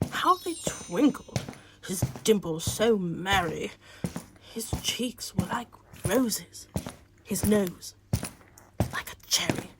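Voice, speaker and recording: A woman narrating a radio play as a storyteller, theatrical and breathy. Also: mid-range voice, background noise. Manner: theatrical, hushed at times.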